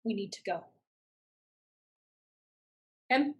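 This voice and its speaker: A woman's voice: mid-range voice, expressive, very slow, fairly bright tone, slightly rough, heavily acted. A woman playing a fierce, protective older sister, direct and firm, in a staged play reading. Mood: urgent.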